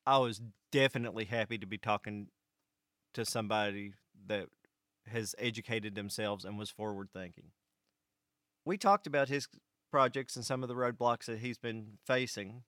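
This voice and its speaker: An American man telling a first-person story to an audience in measured, short phrases, as a vlog host. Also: lowish voice, fairly bright tone, no room sound, clean studio sound. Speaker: vlog host and electric cooperative employee.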